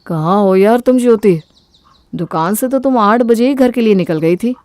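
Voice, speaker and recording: A mild young husband and dutiful son, acted out by a woman talks in a conciliatory, reassuring way. It is a family-story character with a medium pitch for a woman, recorded dry and close. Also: expressive, normal speed, darkish tone, no room sound, lightly acted. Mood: worried.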